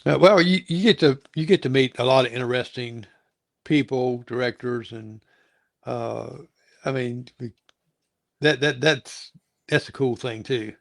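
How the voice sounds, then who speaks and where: A man's voice: mid-range voice, unhurried, creaky, no room sound. A man hosting a casual interview, chatting in a rambling, folksy way and often repeating himself. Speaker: talk show host and interviewer.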